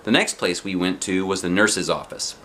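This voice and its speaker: A man reading a children's storybook aloud in an expressive narrating style with short, simple phrases. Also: deep voice, normal speed, slight background noise. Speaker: storybook reader.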